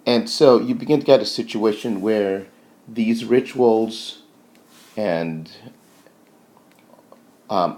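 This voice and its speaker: Low, gravelly man giving a lecture. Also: darkish tone. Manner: halting, thinking aloud, checks in with "okay" and "right". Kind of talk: informal explanatory history lecture.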